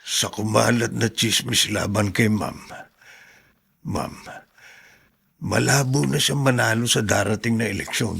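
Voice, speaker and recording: A man playing an anxious, deferential household aide in a Filipino radio drama, with a gravelly, husky voice. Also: on the low side, a little slow, long pauses, a bit bright, heavily acted. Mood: confiding.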